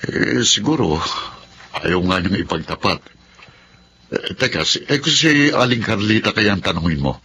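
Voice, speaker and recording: A man's voice: on the low side, very animated, moderate pace. A man playing a helpful, concerned friend in a Tagalog radio drama, asking hesitantly with a Filipino accent. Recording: noisy background ambience.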